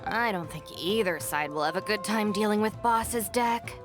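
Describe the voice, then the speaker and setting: A woman's voice: medium pitch, moderate pace, over music, natural voice. A woman voicing a level-headed young female deputy in a video game, matter-of-fact, dry and exasperated. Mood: resigned. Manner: deadpan, sighing, matter-of-fact.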